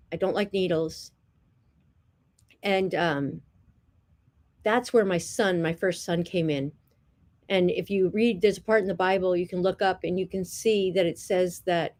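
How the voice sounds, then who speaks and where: Middle-aged woman from New Jersey, earnest and chatty, lowish voice, casual testimony to camera. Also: a little mellow. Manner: earnest, chatty, repeats herself with many "um"s. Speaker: vlogger.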